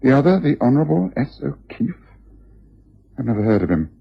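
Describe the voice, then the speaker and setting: An actor playing a shrewd, self-assured gentleman detective with an upper-class English accent, precise and theatrical, in a radio drama. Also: medium pitch, sing-song, a little fast, exaggerated voice. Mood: musing, deductive. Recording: muffled, rough old radio recording.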